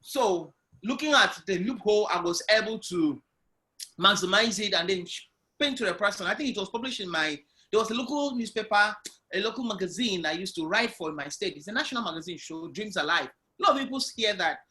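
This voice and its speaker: A man telling his business story on a video call interview, with a loud, animated way of speaking. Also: sits high, fairly quick. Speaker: guest entrepreneur. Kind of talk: online interview, personal story.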